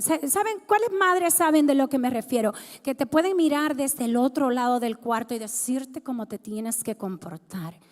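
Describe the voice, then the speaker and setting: A woman in her thirties from the Dominican Republic, sharing her personal testimony as a guest speaker in a church, talking conversationally and putting questions to the congregation. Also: sits high. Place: church sanctuary. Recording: long hall reverb.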